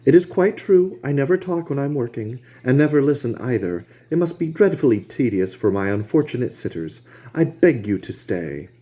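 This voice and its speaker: A man voicing an earnest male painter, gently coaxing his friends in a lifted voice. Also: medium pitch, big pitch swings, moderate pace, voice pushed up, close to an everyday voice.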